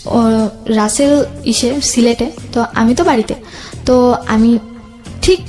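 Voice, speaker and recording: A young woman with a high voice tells a personal story in an interview. She speaks haltingly, feeling her way through her memories. Music plays clearly behind her. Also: mellow. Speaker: guest telling own life story. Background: background music.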